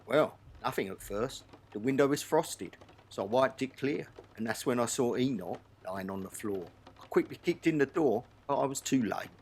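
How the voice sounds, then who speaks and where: A man's voice: medium pitch, expressive, moderate pace, darkish tone, slight background noise, close to an everyday voice. Man playing an ordinary glib male witness. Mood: explaining.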